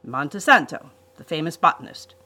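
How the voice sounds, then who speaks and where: A woman voicing a pompous, menacing male villain, a vain botanist, in a storybook reading with slow, emphatic delivery. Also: sits low, very animated, pushed and bright, soft background music, exaggerated voice. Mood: boastful.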